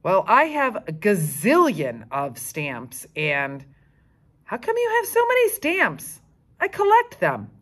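A woman's voice: medium pitch, huge pitch swings, slow pace, fairly bright tone, heavily acted. A woman voicing an eager, matter-of-fact young schoolboy in a storybook, explaining things plainly.

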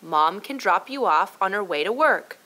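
Woman as an animated, easygoing dad. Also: mid-range voice, normal speed. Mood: cheerful. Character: easygoing, smiling dad giving his kids an errand.

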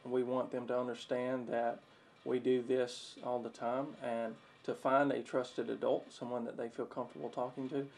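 A man in his thirties, a detective explaining procedure in an interview in a halting, measured way. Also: medium pitch, flat delivery, vocal fry, a little mellow. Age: 30s. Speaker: police detective. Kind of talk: interview answers, official explanation. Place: small office.

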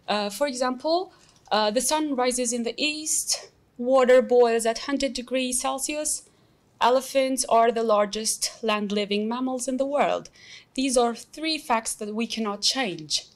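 A young woman with an Albanian accent teaching an English lesson, explaining slowly and patiently with many examples. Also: a little high. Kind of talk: recorded classroom lesson.

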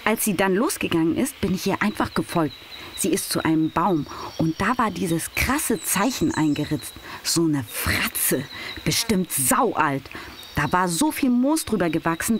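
Excitable, swooping teenage girl played by a woman. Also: fairly low pitch, unhurried, a little hiss or hum. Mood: excited. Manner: slangy, youthful. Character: curious, excitable teenage girl, talking to herself.

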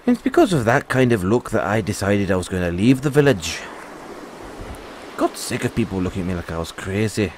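A man playing a free-spirited, kindly dragon rider and mentor in an audio roleplay, musing and unhurried with pauses. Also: fairly low pitch, sing-song, darkish tone, slight background noise. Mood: proud, carefree.

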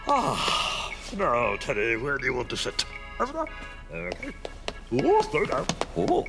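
Childlike, muttering, creaky cartoon bumbler. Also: male voice, medium pitch, huge pitch swings. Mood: fussy. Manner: halting muttering, gasps and exclamations. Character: childlike, bumbling middle-aged man fussing over his teddy bear. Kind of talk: cartoon voice acting. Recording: loud music behind the voice.

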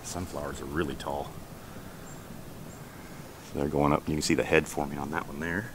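A man giving a casual walking tour of his backyard garden outdoors, chatty and relaxed as he points things out on a handheld camera. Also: extremely low pitch, moderate pace, background noise. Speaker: homestead vlogger. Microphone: handheld camera held while walking.

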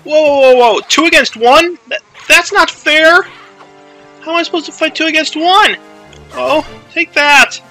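A man's voice: very high voice, a little slow, sharp tone, faint music behind, voice pushed up, exaggerated voice, poor audio. A man voicing a boastful, panicky young boy hero in a comedic video game dub, exclaiming and narrating himself dramatically. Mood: panicked, excited.

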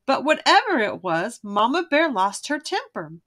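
A woman narrating a children's storybook aloud in an expressive, emphatic way. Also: high-pitched, normal speed.

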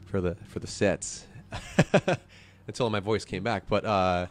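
A man's voice: lowish voice, takes it slowly. A man talking easily on a podcast as a band's singer, laughing often and filling with "you know", at a desk mic in a small studio. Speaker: band vocalist guest. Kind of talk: casual podcast chat. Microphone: desk mic with headphones.

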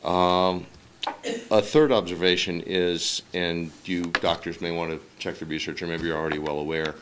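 A middle-aged man giving public comment at a school board meeting from a podium microphone in a large meeting room, measured with a light wry touch. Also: sits low, flat delivery, background noise.

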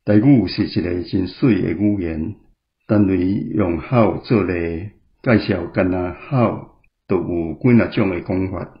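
Muffled audio, middle-aged taiwanese guy, low voice, slow prepared commentary. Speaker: guest commentator. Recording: dull, lo-fi sound.

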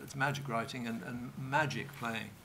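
An older man, a director, speaking enthusiastically with halting restarts on a stage panel, into a table mic. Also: mid-range voice, steady tone, pushed and bright, a little hiss or hum. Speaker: television director.

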